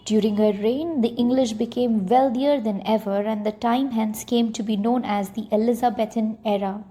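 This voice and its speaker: A woman's voice: a little high, steady tone, normal speed, mellow, slightly rough. A woman reading scripted educational narration for a short history film.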